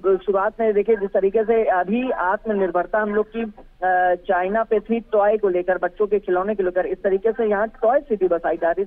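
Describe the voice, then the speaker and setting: A male field reporter giving a live news report by phone, hesitant, with many fillers and restarts. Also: high voice. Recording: tinny phone line.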